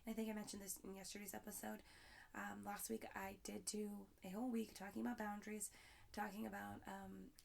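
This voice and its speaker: A young woman hosting her own podcast, talking in a rambling, upbeat way with lots of fillers, her voice hoarse and raspy. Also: medium pitch, flat delivery, a little fast. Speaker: podcast host. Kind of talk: solo podcast talk.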